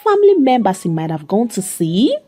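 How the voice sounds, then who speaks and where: A woman voicing a calm, methodical male police officer in a dramatic story, formal and probing. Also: mid-range voice, fairly quick.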